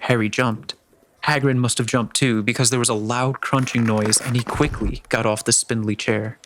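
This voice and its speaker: A man's voice: mid-range voice, steady tone, normal speed, background noise. A man reading scripted story narration as the narrator of a dramatic reading.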